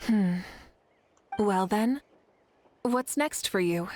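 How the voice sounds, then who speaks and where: An actress playing a composed, confident warrior leader in a video game, with a measured, poised delivery. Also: female voice, mid-range voice, lively intonation, a little mellow, faint music behind. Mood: composed, curious.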